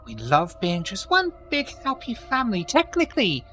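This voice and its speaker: A woman's voice: mid-range voice, huge pitch swings, moderate pace, over music. Woman doing a gushing elderly vampire grandma. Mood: genteel. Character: genteel elderly grandmother vampire, sweetly condescending.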